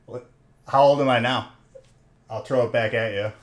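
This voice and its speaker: A man's voice: medium pitch, steady tone, normal speed. A man in his thirties hosting a live stream, chatting casually and jokingly teasing his viewers while he opens card packs. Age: 30s. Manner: teasing, joking banter with chat.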